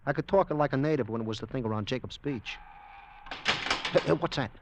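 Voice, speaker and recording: An actor playing a nervous, slangy, streetwise American sidekick in a 1940s radio drama. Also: male voice, medium pitch, fast, lightly acted. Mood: jumpy, anxious. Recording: dull, noisy old radio recording.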